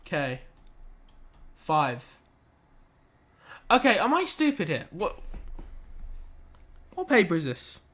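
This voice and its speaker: High-voiced young man streaming maths. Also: wildly swooping pitch, slow pace, long pauses, a bit bright. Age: young adult. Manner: laid-back, chatty slang, reads chat aloud and mutters while working. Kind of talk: casual live-stream chat while solving maths.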